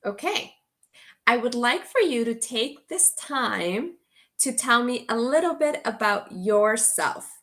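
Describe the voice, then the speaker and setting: A woman teacher from Guatemala, giving a slow, clear orientation to an online English as a course. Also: a little high, expressive, fairly bright tone. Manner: slow, deliberate delivery for language learners.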